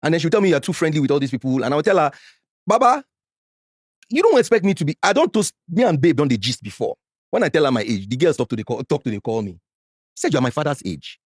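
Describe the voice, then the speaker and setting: A Nigerian man in his forties telling a painful personal story as a podcast guest, animated and slipping into Pidgin when worked up. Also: fast, no room sound, studio quality. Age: 40s. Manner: animated, mixes Pidgin, gets loud and high when worked up.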